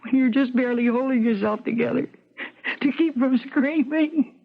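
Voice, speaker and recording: Troubled wife, 1970s radio drama: husky, halting, plain-spoken, hates herself, jokes to cope. Lo-fi broadcast. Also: female voice, sits high. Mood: anguished.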